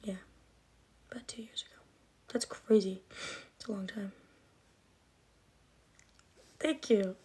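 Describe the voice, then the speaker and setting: Young woman rambling on a phone livestream, slight lisp, soft r's, lots of likes and ums, mid pitch. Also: fairly even intonation, slow, long pauses, slightly rough. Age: 20s. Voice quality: slight lisp and trouble with R sounds. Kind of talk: casual livestream chat answering comments.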